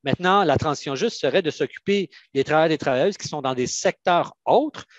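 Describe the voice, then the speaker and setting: A man from Canada with a Quebec French accent, explaining methodically and haltingly as a union adviser answering questions in an online panel. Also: a little high, no room sound, muffled recording.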